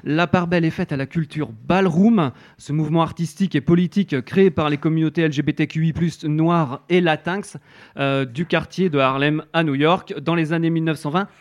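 A man interviewing a guest on a French radio show, halting and restarting often. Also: a little high, fairly even intonation. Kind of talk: presenting an exhibition and guest.

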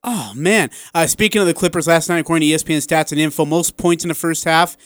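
Clear-voiced American sports radio host, man. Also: a little high, fast, no echo. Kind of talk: sports talk radio chat.

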